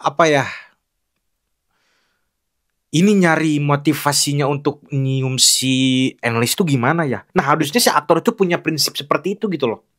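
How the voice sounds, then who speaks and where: A man's voice: on the high side, normal speed, pauses often, fairly bright tone. Frank, chatty young man critiquing acting. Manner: frank and critical, loose with many fillers and restarts. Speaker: film acting reviewer. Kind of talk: casual spoken commentary on film clips.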